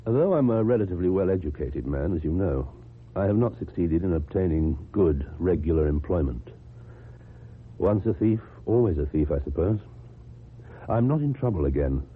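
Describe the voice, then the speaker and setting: A man's voice: low-pitched, animated, breathy edge. An actor playing a reserved, lonely, well-educated ex-convict with a British accent, kindly but wary and formal in his speech, in a radio drama. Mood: confiding. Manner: formal, measured, precise speech. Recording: muffled, noisy old radio recording.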